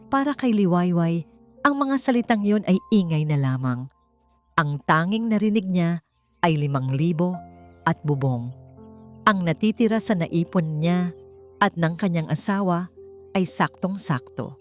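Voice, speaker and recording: A woman reads a dramatic story as its narrator. Her voice sits on the low side and moves through lively, expressive pitch swings that build suspense. Faint music plays behind her. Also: unhurried, long pauses.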